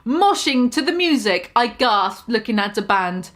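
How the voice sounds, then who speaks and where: A woman's voice: a little high, big pitch swings, unhurried, fairly bright tone. Woman doing a mock-dramatic reading. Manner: breaks into laughter, swears, comments on the text. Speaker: reader.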